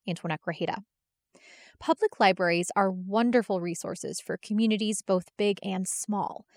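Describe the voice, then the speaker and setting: A woman hosting a podcast, reading a measured, scripted introduction. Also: mid-range voice, dry recording. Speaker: podcast host.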